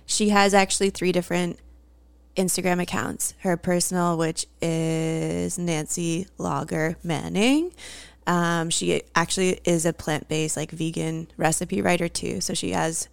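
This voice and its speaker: A woman co-hosting a casual podcast, chatty and quick to laugh. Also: on the low side, steady tone, vocal fry, smooth, dry recording. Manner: giggly, chatty. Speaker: podcast co-host.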